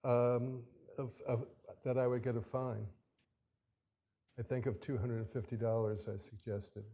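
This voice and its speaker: A man arguing his case before an appellate court, speaking haltingly with many restarts and fillers. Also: mid-range voice, level tone, mellow. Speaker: attorney arguing his own appeal. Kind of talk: courtroom oral argument.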